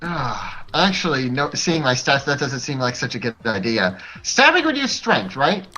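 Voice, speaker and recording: Animated, bright-voiced male tabletop player. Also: mid-range voice, moderate pace, low-quality recording. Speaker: player in a tabletop role-playing game. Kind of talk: casual game chat.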